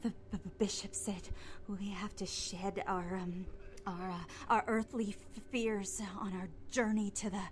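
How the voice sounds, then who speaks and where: Woman acting a slow, stammering, frightened young cultist. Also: mid-range voice, fairly even intonation, a little hiss or hum, close to an everyday voice. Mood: scared, pleading. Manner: stammering, hesitant, trailing into whispers. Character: frightened, confused young female cult recruit. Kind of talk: video game dialogue acting.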